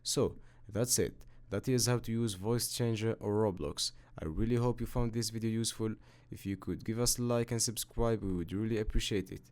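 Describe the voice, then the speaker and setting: Man, lowish pitch, tutorial host, step-by-step software narration, instructional, matter-of-fact. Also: moderate pace.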